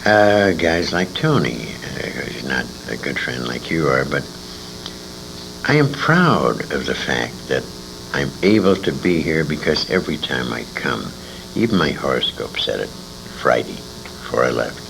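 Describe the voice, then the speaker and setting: A man with a gravelly voice reminiscing in an informal interview, earnest and emphatic in the way he talks. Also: rumbling low, sing-song, a little slow. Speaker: interviewee, former radio show performer. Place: old-time radio convention. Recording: noisy amateur convention tape recording.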